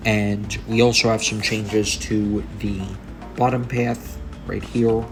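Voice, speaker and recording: A man's voice: fairly low pitch, gravelly. A young man giving gameplay commentary on patch notes, sounding tired and a little under the weather, with frequent ums. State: tired, coming down with a cold. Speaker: gaming YouTuber. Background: game music.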